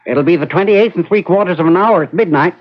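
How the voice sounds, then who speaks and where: An actor playing a helpful, chatty, sympathetic hotel desk clerk in a radio drama. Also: male voice, sits high, fast talker. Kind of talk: 1970s radio drama acting. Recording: dull lo-fi old radio recording.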